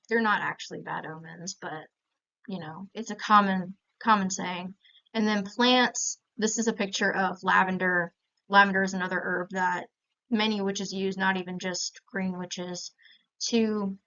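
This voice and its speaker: A young woman with an American accent presents information in a plain, explanatory way. Her voice is smooth and clean, sitting at an average pitch for a woman. The recording is a little muffled and lo-fi. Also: sharp tone. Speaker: student presenter. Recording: slightly dull, lo-fi sound.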